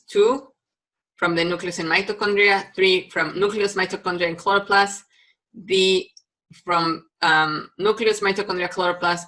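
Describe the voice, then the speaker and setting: Mexican-accented woman lecturing, bright tone. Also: lowish voice, rough recording. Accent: Mexican Spanish. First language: Spanish. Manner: explanatory, with frequent ums and restarts. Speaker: university biology lecturer. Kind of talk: recorded online lecture.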